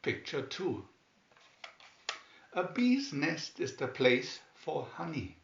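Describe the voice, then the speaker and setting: Slow, animated male storybook reader in a roomy space. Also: fairly high pitch, gravelly, slight background noise. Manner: deliberate, with emphatic swoops on key words. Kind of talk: scripted children's story narration, read aloud slowly and expressively. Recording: dull, roomy home recording.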